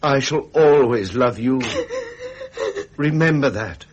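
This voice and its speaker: A British actor playing a tender, coaxing royal husband in a period radio drama, soothing and courtly, with an intimate delivery. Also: male voice, medium pitch, animated, slow, dull audio. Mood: tender, distressed. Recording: faint hiss, vintage radio recording.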